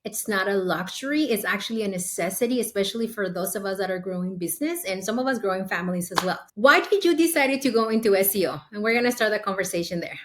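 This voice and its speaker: A woman's voice: medium pitch, animated, fast, hardly any pauses, a bit bright. A woman hosting a podcast interview, upbeat and enthusiastic as she asks questions. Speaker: podcast host.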